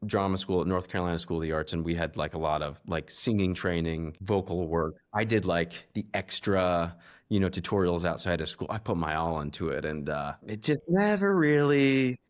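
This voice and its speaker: A man in his thirties, a podcast guest, chatting in a relaxed, halting way with many fillers. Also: low-pitched. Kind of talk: casual chat.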